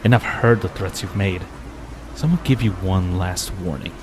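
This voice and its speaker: A man's voice: low-pitched, normal speed, lightly acted. A man playing a suspicious, foul-mouthed city protector in an audio drama, confrontational and sneering. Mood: hostile, threatening. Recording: clear background noise, ambience.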